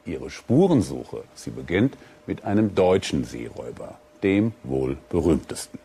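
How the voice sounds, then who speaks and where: Male documentary presenter, scripted read, mellow and husky. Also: a bass voice, big pitch swings, a little slow. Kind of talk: scripted narration.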